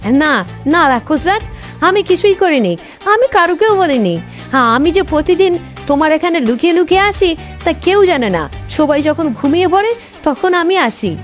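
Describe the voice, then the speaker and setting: Lady doing a young cartoon princess, high swoopy voice, fretful n theatrical. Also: big pitch swings, moderate pace, soft background music. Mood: pleading. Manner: theatrical, plaintive. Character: young fretful, secretive fairy-tale princess. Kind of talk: Bengali fairy-tale cartoon dub.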